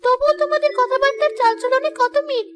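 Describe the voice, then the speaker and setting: A woman's voice: very high voice, fairly even intonation, brisk, soft background music, voice pushed up. A woman voicing a small talking bird, an earnest and insistent friend.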